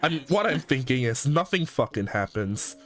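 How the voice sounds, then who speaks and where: A man's voice: medium pitch, lively intonation, moderate pace, over loud music. A man from Singapore co-hosting a casual chat, rambling with many restarts and swearing heavily. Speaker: co-host.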